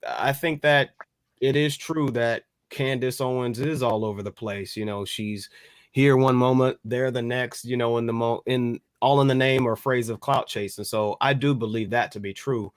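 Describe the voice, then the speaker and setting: A very smooth, clear male voice in a dry recording. He offers measured commentary as a podcast panelist. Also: medium pitch, no echo.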